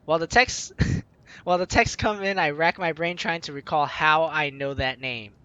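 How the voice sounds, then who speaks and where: A man's voice: fairly high pitch, normal speed, sharp tone, smooth, dry recording, muffled recording. A young man hosting a let's play, reading game text aloud with informal, jokey asides. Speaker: host of a gaming let's play series. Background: game music.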